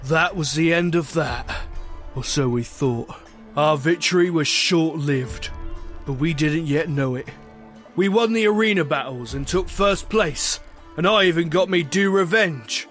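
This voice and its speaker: A man's voice: on the high side, natural voice. A man playing a boisterous, commanding pirate captain who tells his sea tale in a dramatic storytelling style, with music behind him. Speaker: narrator and captain.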